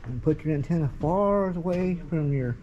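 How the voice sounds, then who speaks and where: Male hobby vlogger, informal and halting, off-the-cuff delivery, muffled recording over noticeable traffic-like ambience. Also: on the high side, dark tone. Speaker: amateur radio vlogger. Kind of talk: casual rambling walk-around commentary. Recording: dull sound, clear background noise.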